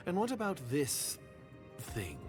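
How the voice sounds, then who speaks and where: A man's voice: sits high, wildly swooping pitch, takes it slowly, exaggerated voice. A male actor playing a suspicious, disdainful covert agent in a video game, speaking formally and guardedly. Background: game music.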